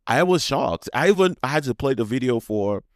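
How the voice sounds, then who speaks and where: A man's voice: mid-range voice, animated, normal speed, no echo, clean studio sound. A man from Nigeria with a Yoruba accent, hosting a casual solo podcast in a rambling, conversational way that often doubles back mid-phrase. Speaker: podcast host.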